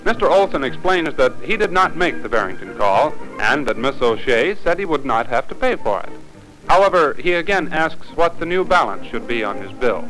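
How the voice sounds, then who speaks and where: An American man reading formal, deliberate, instructive narration for a 1950s training film. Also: a little high, sing-song, a bit bright, rough recording. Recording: 1950s film soundtrack, music behind.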